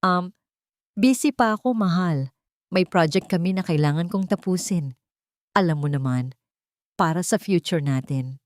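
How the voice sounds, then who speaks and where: A woman's voice: lowish voice, animated, unhurried, long pauses, no echo, clean studio sound. A woman voicing a deceitful young man in an audio drama, hesitant and placating as he makes nervous excuses. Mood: evasive. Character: evasive, deceitful young male fiancé, nervously surprised.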